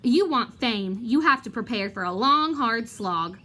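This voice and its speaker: A vain male wizard professor from a storybook, acted out by a woman, evasive and self-important. The voice sits fairly high and swoops about, pompous yet hesitant. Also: lively intonation, unhurried, sharp tone. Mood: pompous, boastful.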